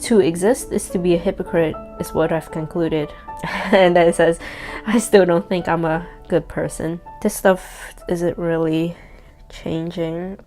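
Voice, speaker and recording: A young woman in her twenties, a native Cantonese speaker, with a slightly low voice. She reads and reacts in a candid, reflective, self-mocking way. Guitar music plays clearly underneath. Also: fairly even intonation, unhurried. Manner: candid, reflective, self-deprecating with soft laughs. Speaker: vlogger. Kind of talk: casual reading of a personal letter. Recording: guitar music playing behind.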